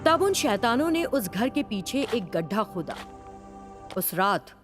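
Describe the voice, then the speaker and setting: A woman's voice: fairly high pitch, very animated, unhurried, over music. A woman narrating a scripted children's cartoon story.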